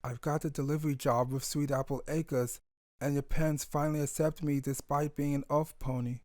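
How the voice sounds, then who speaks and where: A man's voice: a little high, level tone, fairly quick, a little mellow, no room sound, studio quality, close to an everyday voice. A man voicing a tender, emotional pony stallion, a young father, in a dramatic story reading. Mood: excited, loving.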